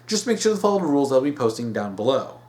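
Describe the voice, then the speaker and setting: A man hosting a solo opinion video, speaking off the cuff in an emphatic, halting way and repeating himself to stress his points. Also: medium pitch, very animated, darkish tone. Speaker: host of a weekly topic video series. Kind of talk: unscripted solo opinion commentary.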